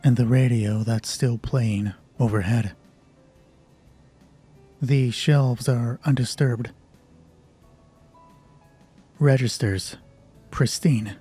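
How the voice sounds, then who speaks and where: Slow, mellow man narrating over music. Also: mid-range voice, steady tone, husky. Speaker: solo roleplay game master and narrator. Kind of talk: narrating a solo tabletop horror roleplay. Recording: music behind the voice.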